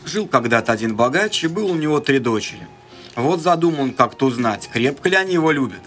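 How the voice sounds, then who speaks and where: Bright-voiced man telling a fairy tale. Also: medium pitch, expressive, quick pace, faint music behind. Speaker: actor, storyteller. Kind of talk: expressive fairy tale narration.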